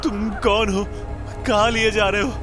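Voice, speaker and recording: A man's voice: high-pitched, fairly even intonation, unhurried, music in the background. A man voicing a young village boy in a Hindi cartoon, with a dramatic, pleading manner. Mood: frightened, pleading.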